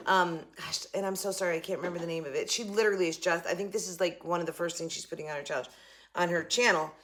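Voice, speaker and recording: A woman hosting a casual craft vlog, a little hoarse, chatting excitedly as she opens a package. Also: lowish voice, fast. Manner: chatty, excitable, jokes with a pet. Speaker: craft channel host.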